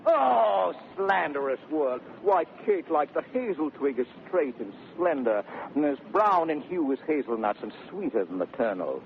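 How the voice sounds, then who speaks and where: An actor playing a brash, teasing gentleman suitor in 1930s radio Shakespeare, declaiming with mock sweetness. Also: on the high side, very animated, normal speed, creaky, few pauses. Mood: exuberant, insistent. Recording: tinny, noisy 1930s radio recording.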